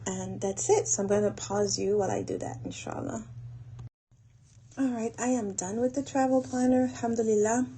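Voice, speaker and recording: A woman talking casually and haltingly as she thinks aloud through her planning in an audio log. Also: fairly high pitch, steady tone, dark tone, rough recording. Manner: unhurried, halting, thinking aloud while doing tasks. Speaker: host of a planning audio log for a women's group. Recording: noticeable background noise.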